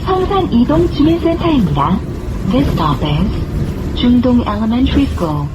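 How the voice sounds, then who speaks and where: A woman reading scripted bus stop announcements in a measured, formal manner. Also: a little high, big pitch swings. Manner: measured, formal, clearly phrased. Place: played over speakers inside a moving bus. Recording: muffled recording with clear vehicle noise. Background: bus engine and road noise.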